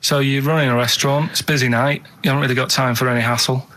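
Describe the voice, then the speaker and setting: An English man chats casually on the radio at an average pitch. He tells odd stories earnestly and plainly, as a matter-of-fact co-host. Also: steady tone, rapid-fire, pushed and bright, slight background noise, poor audio. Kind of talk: casual chat.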